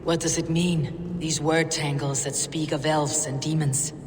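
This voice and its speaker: An actress playing a fierce, defiant Viking warrior in a video game, with blunt, brooding, archaic phrasing. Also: female voice, sits low, little pitch movement, measured pace, in a large hall, noisy background. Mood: brooding, bitter. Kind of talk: video game drama acting.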